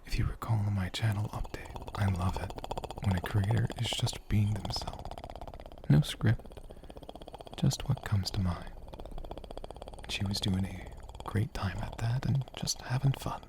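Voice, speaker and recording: A man speaking softly and gently in an ASMR style, breathy and often whispering, rambling unhurriedly as he answers questions off the cuff. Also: on the low side, a little slow, pauses often. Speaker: ASMR creator and channel host. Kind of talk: soft-spoken ASMR, unscripted question-and-answer.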